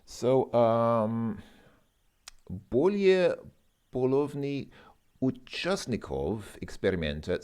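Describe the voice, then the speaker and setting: Male, deep voice, informal tutor, hesitant delivery, reasons through translations as he speaks. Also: darkish tone. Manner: hesitant, halting, thinking aloud. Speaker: language learner presenting a Russian lesson. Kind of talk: informal lesson, translating and thinking aloud.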